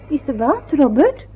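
A woman's voice: high-pitched, full character voice. An actress playing a fussy, anxious older mother in a radio play, chattering and muttering to herself. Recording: dull lo-fi old radio recording.

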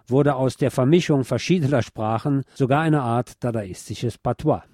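A man reading a scripted cultural essay aloud in a measured, formal, literate manner. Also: mid-range voice. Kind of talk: scripted spoken essay on pop history, read aloud.

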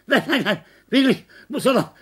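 A woman's voice: a little high, lively intonation, moderate pace, husky. An actress playing a delirious, terrified woman who is suffocating in a fever, gasping out broken, pleading phrases over and over. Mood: panicked. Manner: pleading, panicked, repeating words. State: out of breath. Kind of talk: dramatic acting.

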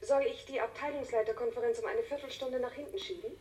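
An actress playing a brisk, deferential office secretary in a radio drama, formal and businesslike. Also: female voice, fairly high pitch, fairly even intonation, a little mellow, lightly acted. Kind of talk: German radio drama acting. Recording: distant from mic, faint background noise.

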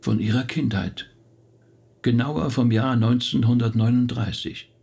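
A man playing a calm, thoughtful pastor in a radio drama, husky and speaking in a considered, patient way. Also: medium pitch, unhurried. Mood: firm, thoughtful. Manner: considered, patient, slightly indignant. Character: calm, thoughtful male pastor being questioned. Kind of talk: German radio drama acting.

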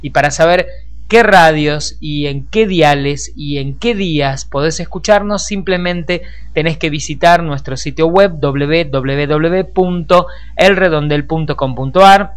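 A man's voice: a little high, unhurried, smooth. An Argentine man presenting a radio programme in a conversational, discursive way, speaking directly to listeners. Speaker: radio host and journalist.

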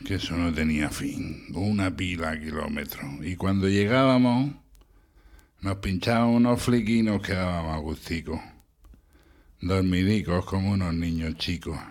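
A man playing a low, drawling junkie drifter. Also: fairly even intonation, moderate pace, natural voice. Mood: reminiscing.

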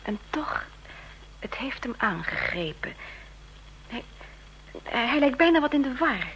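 A woman playing a gentle, sympathetic young employee of an intelligence bureau in a radio drama, speaking softly and with some hesitation. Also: sits high, very animated, takes it slowly, slight background noise, exaggerated voice, rough recording. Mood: pensive, concerned. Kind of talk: Dutch radio drama acting.

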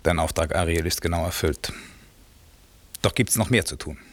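A man's voice: deep voice, moderate pace. A man playing a stern, imperious magician master who can turn benevolent, declaiming verse with authority in a German classical radio play. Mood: approving, questioning.

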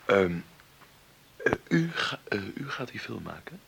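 An actor in a radio play playing a wealthy, famous film-maker in his fifties, wry and commanding, with a gravelly, husky, sometimes halting delivery. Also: fairly low pitch, big pitch swings, background noise, full character voice. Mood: musing. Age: 50s. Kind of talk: Dutch radio play acting.

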